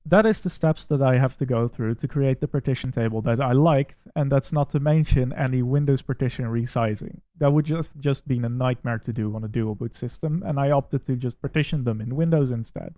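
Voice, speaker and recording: Man, Dutch accent, middle pitch, critical tech walkthrough, dry muffled recording. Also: a little fast, hardly any pauses, dry recording. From Netherlands. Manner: critical, methodical, plainly spoken. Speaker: tech reviewer. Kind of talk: screen-recorded software walkthrough.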